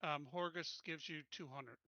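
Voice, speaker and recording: Man, game player, very bright tense voice, casual chat, dry lo-fi mic. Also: on the high side, normal speed, dry recording.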